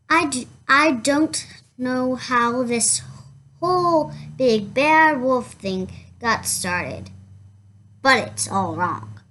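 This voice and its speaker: Slow, defensive storybook wolf read by a woman. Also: a little high, fairly bright tone, full character voice. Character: male storybook wolf, chatty, defensive, self-excusing. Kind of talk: children's storybook read-aloud in first person.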